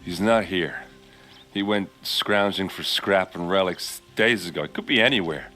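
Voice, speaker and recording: A hearty, hot-headed young warrior captain with a low voice that still rings bright and forward. He talks bluntly and flares up fast, like a game hero's rough friend. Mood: concerned. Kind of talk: video game cutscene acting.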